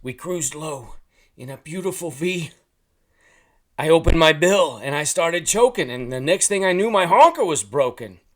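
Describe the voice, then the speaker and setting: A man plays an excitable talking goose from a rhyming storybook. He has a New Jersey accent and pushes his voice loud and high. Every line comes out emphatic, exasperated and indignant, with big swings in pitch. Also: moderate pace, close to an everyday voice. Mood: dramatic recounting.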